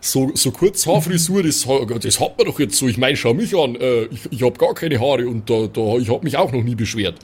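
A man's voice: medium pitch, expressive, normal speed, hardly any pauses, husky. A man voicing a bald, harmony-loving adventurer who soothes and stammers fussily in a tabletop game. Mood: awkwardly soothing. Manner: soothing, fussy, stammering repetitions.

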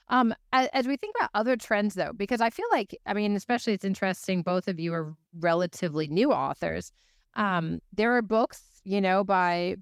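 A woman interviewer with a fairly low, smooth voice in a dry, close recording. She speaks conversationally, working her way through exploratory questions as she thinks aloud. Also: no room sound. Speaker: interview host.

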